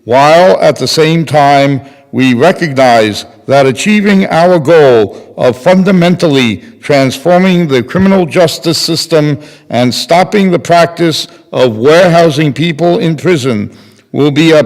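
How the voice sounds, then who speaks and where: A man's voice: fairly high pitch, animated, a little slow. An older man giving a formal reading of a written statement at a synagogue podium. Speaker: readers theater performer. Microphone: podium microphone on a boom. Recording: reverberant hall.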